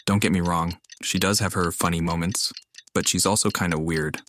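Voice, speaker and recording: A man's voice: low-pitched, fairly even intonation, moderate pace, fairly bright tone, a little hiss or hum. A man talking casually and frankly, giving his own opinions as he plays a video game. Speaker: game player commenting. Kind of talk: casual gameplay commentary. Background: game music.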